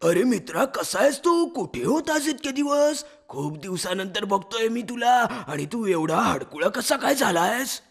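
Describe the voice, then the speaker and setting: A cunning male fox in a children's storybook, played by a woman, coaxing and flattering. He drawls slowly in a lowered, gravelly voice with a husky, breathy edge, and his pitch swoops up and down as he wheedles. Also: exaggerated voice. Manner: sweet-talking, coaxing. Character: sly scheming male fox minister. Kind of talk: storybook character voice.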